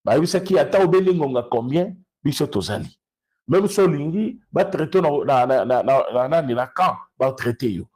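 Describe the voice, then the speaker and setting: A man with a Congolese accent speaks in a fairly high, distinctly husky voice. He gives off-the-cuff political commentary, moving between two languages. He is emphatic and repeats phrases to drive them home. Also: lively intonation, moderate pace, a little mellow.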